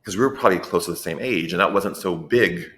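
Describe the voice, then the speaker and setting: A man's voice: sits low, quick pace, fairly bright tone. A man hosting an interview, asking earnest, searching questions and repeating words as he thinks. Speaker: podcast host. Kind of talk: interview conversation.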